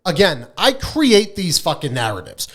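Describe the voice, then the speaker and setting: A man's voice: on the high side, wildly swooping pitch, normal speed, a bit bright. A man co-hosting a podcast in casual, irreverent chat, brash and emphatic, swearing freely. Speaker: podcast co-host.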